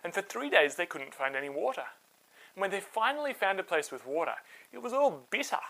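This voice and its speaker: Casting: bright, highly expressive children's Bible storyteller, with very lively pitch swings and plain conversational delivery. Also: brisk, vocal fry, poor audio. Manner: expressive, simple conversational retelling.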